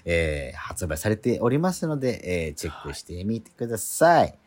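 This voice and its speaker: Very deep-voiced male radio host. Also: sing-song, moderate pace, darkish tone. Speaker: radio show host and actor. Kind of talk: casual radio talk.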